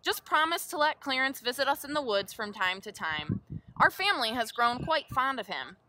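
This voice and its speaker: A woman's voice: high-pitched, big pitch swings, moderate pace, heavily acted, low-quality recording. A woman voicing a kindly mother squirrel. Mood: cheerful. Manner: warmly amused. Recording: clear background hiss.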